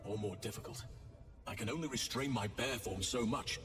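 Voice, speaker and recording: An actor voicing a grave, resolute druid leader in a video game, speaking in a measured, formal way. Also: male voice, fairly low pitch, lightly acted. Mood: commanding, solemn. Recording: echoey hall, distant, under loud music.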